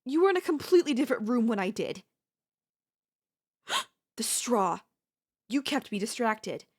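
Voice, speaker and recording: A possessive, scheming yandere woman, acted by a woman for an ASMR audio roleplay. Her voice sits on the high side. She speaks in theatrical, formal phrases with a haughty edge, lovestruck and menacing at once. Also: big pitch swings, normal speed. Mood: frustrated, incredulous.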